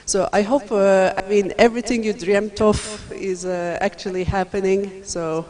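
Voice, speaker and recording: A woman's voice: mid-range voice, steady tone, normal speed, a little mellow. Hesitant woman lecturer from Turkey. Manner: hesitant, many fillers, friendly. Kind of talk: university lecture.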